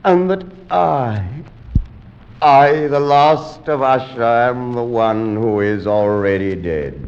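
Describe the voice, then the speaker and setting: An actor playing a grief-crazed, morbid gentleman, the last of his line, in theatrical, tremulous 1940s radio horror style. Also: mid-range voice, slow, a little hiss or hum. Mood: frenzied despair. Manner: theatrical, tremulous, swings from whispering to anguished outbursts. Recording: dull lo-fi 1940s radio recording.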